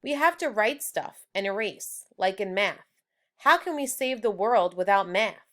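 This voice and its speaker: A woman's voice: medium pitch, animated, unhurried, fairly bright tone. A woman reading the part of a practical third-grade schoolboy in a children's storybook. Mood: objecting.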